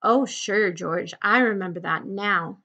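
A woman voicing a big, childlike drifter man from a novel, timid and eager to please, in simple halting rustic speech. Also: medium pitch, pushed and bright, muffled recording. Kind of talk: audiobook-style character reading of a novel.

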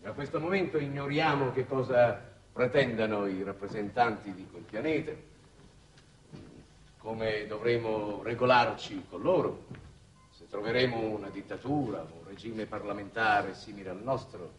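An actor playing a shrewd, weary middle-aged statesman in a 1960s Italian television drama, speaking in formal, measured phrases. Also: fairly low pitch, lightly acted. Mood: grave, solemn. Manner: measured, formal, deliberate oratory. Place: television studio set. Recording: noisy old 1960s television soundtrack.

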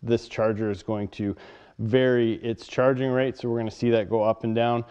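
A man's voice: mid-range voice, little pitch movement, normal speed, dark tone, clean tone. Man demonstrating a product in a reverberant workshop. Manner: plain, matter-of-fact explaining. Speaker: product reviewer. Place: workshop with a workbench. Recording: dull sound with long reverb.

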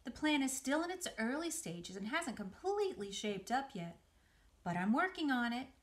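A wise, motherly spider from a storybook , played by a woman. She is calm and comforting, speaks a little high, and gives firm instructions with reassurance. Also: moderate pace. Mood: cool, light.

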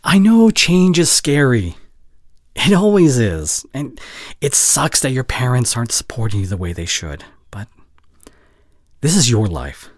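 A young man playing a caring, heartbroken boyfriend in an intimate audio roleplay, speaking haltingly and earnestly, often dropping to near whisper. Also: medium pitch, sing-song, no room sound, studio quality. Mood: gentle, supportive. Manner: halting, earnest, pausing mid-sentence. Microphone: close studio condenser mic.

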